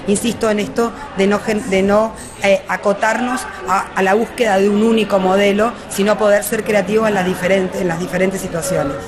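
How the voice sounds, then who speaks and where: Argentine woman, interviewee, mid-pitched voice; thoughtful delivery that halts and rephrases as she explains. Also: steady tone, crowd noise. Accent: Argentine Spanish. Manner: articulate but halting, searching for words and rephrasing. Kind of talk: reflective interview answer.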